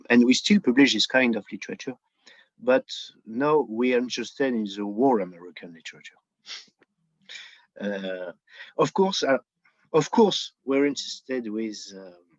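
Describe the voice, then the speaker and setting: A native French-speaking man with a French accent, answering as an interview guest and pausing to find his words. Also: mid-range voice, no echo. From France. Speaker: book editor, guest. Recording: dull, lo-fi sound.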